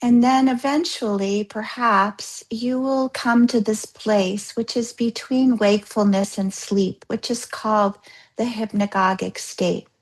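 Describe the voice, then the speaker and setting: A woman leading a guided yoga nidra practice as a yoga therapist, gently instructing in a soothing, unhurried way with pauses. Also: on the high side, steady tone, poor audio.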